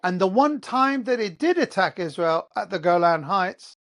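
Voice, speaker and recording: A man's voice: high-pitched, lively intonation, normal speed. A man with an English accent, hosting a heated solo monologue, blunt and profane, firing off rhetorical questions. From England. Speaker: host. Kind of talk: ranting monologue.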